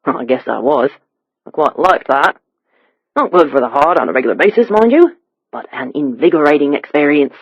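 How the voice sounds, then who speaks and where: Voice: medium pitch, lively intonation, normal speed, slightly rough, dry recording. A chatty British cabbie, acted by an audiobook narrator, curious and pleased with himself. He speaks in a chirpy, conversational way, recorded very dry and a little dull. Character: chatty bearded British male cab driver, pleased with himself.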